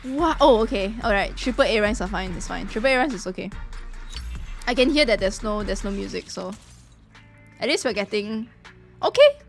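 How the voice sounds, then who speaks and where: A woman chatting casually on a live game stream, reacting excitably and repeating her phrases. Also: mid-range voice, moderate pace, pauses often, faint music behind.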